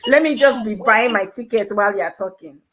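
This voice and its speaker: A woman moderating a panel, warmly playful and teasing over a video call. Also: medium pitch, brisk. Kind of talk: casual chat.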